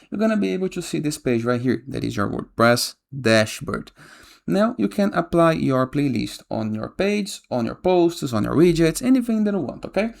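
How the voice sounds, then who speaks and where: Male tutorial host, casual step-by-step screen voice-over, noticeable vocal fry, mid-range pitch. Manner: frequently tags instructions with a questioning "okay?".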